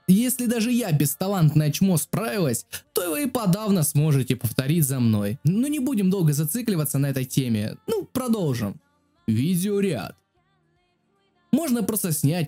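Dark-toned, lively crude video narrator. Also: medium pitch. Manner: crude, slangy, self-deprecating. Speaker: video creator and host. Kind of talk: casual tutorial narration, joking. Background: music.

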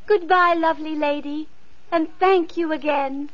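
A woman's voice: high-pitched, steady tone, unhurried, heavy background noise. A woman playing a poor, lonely young girl in an old radio play, pleading and sweet, on a dull lo-fi recording. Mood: earnest. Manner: pleading, tearful. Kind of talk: 1950s radio acting.

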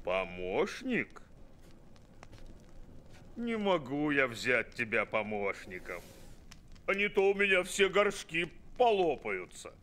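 A man playing a burly, short-tempered market trader in an animated film, blustering and indignant. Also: a little high, slow pace, long pauses, a little hiss or hum, full character voice. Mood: mocking.

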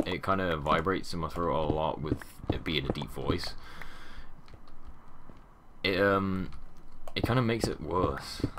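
A young man with a deep voice and a sore throat, chatting casually over gameplay in a rambling way that often trails off into mumbles. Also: measured pace, long pauses. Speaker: gaming channel host. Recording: audible background noise and keyboard clicks.

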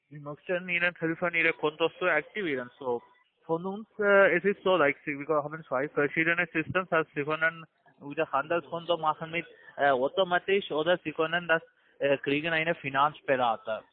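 A man with a foreign accent and a fairly high voice. He makes a halting sales pitch in broken grammar, heard over a tinny phone line. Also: no room sound. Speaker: telemarketer.

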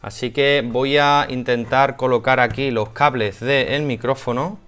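A man's voice: mid-range voice, steady tone, measured pace, a bit bright. A man in his thirties with an accent from Spain, talking casually and a bit hesitantly through a hands-on product review. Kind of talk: casual unboxing and installation walkthrough. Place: small home office room.

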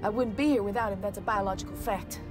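A woman's voice: mid-range voice, fast, over music, lightly acted. A woman playing a cocky, teasing young gunsmith in a video game cutscene, sassy and drawling. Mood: blunt, consoling. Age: young adult.

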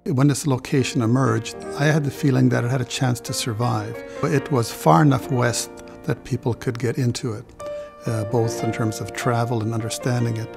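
Gravelly man recalling founding, music behind. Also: medium pitch, steady tone, moderate pace. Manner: reflective, with hesitations. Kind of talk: documentary interview.

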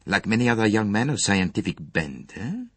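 Male reader as foreign detective, courteous, shrewd, formal, precise, lively intonation, slightly husky, storybook narration. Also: on the low side, no echo, full character voice. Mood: earnest, advising. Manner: formal, measured, precise phrasing.

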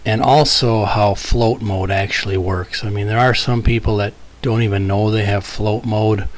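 An American man giving casual, folksy vlog commentary in a conversational way, recorded outdoors on a farm. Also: on the low side. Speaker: farm vlogger. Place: outdoors on a farm, partly in a tractor. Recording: clear outdoor vehicle and ambient noise.

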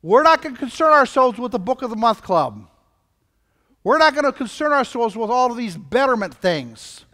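A man's voice: very high-pitched, very animated, moderate pace, pushed and bright. An older man preaching a sermon as a pastor, emphatic, repeating phrases and using rhetorical questions, recorded in a church hall. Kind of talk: sermon to a congregation. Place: church hall, indoors at a lectern. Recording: reverberant hall, picked up from a distance.

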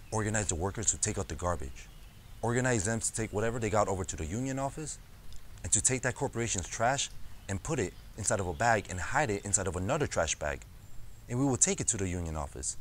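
Actor as a low-voiced, wry crew foreman. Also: a little hiss or hum, natural voice. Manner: conversational, wry storytelling. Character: wry, amiable farm crew foreman turned union organizer. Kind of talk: dramatized oral history monologue.

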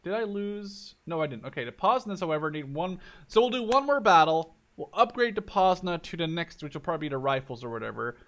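A man in his twenties giving casual, excitable let's play commentary, thinking out loud as he goes. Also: fairly high pitch, fairly quick, hardly any pauses. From Canada or the Netherlands. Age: 20s. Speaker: gaming video host.

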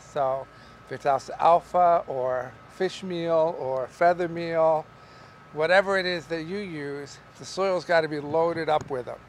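A middle-aged man, a market farmer, explaining how he grows tomatoes in a relaxed, rambling way with many fillers, recorded in a large greenhouse by a camera some distance away. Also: a little high, a little hiss or hum. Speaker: market farmer teaching growing. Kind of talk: casual on-camera farming lesson. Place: large greenhouse among tall tomato plants. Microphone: camera at a distance, wide shot.

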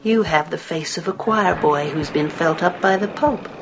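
A woman's voice: on the low side, normal speed, highs cut off, over music, lightly acted. A woman in a radio play voicing a mocking, vicious legion of demons inside a possessed girl, in a theatrical manner. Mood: gloating.

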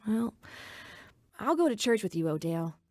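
A woman's voice: mid-range voice, big pitch swings, takes it slowly. An actress in an audio drama playing a needy, troubled old girlfriend with a plaintive, emotional manner. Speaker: actor in a radio drama.

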